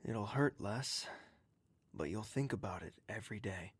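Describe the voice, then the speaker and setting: An actor playing a gentle, protective, courtly confidant, speaking softly and earnestly with formal manners in an audio drama. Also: male voice, fairly low pitch, expressive, a little slow, husky, no room sound, exaggerated voice. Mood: reassuring. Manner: soft, earnest, reassuring, formal.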